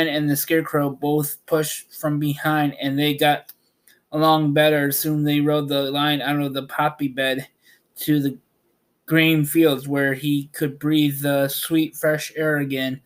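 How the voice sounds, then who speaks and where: A man reading a children's story aloud as an amateur narrator, halting at times and correcting his words. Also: fairly high pitch.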